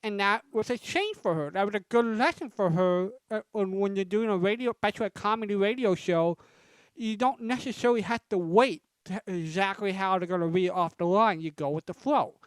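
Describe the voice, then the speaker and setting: Clear-voiced woman hosting a video call. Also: medium pitch, fairly quick, no room sound, poor audio. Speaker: panelist and event host. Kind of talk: casual explanation.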